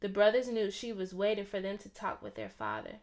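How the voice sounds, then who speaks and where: A woman reading a children's story aloud in an expressive, theatrical way. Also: mid-range voice, brisk. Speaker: storybook reader.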